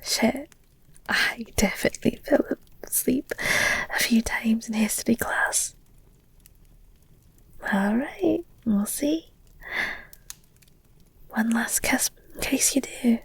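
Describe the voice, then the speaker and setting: A very breathy, husky whisper with a crackle of fry, unhurried and close in feel. This is an affectionate werewolf girlfriend, played by a woman, soothing her lover in an ASMR roleplay. She is candid and hesitant, slipping in gently teasing, wry asides. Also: fairly high pitch, lively intonation, no room sound, heavily acted. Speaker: audio roleplay voice performer.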